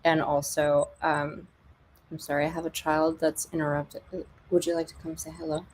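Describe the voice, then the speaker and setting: A woman hosting a casual podcast, talking in a low voice. She chats freely and jokes along, filling pauses with ums. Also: flat delivery, a little mellow, a little hiss or hum. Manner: chatty, filler-heavy, jokey. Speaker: podcast host.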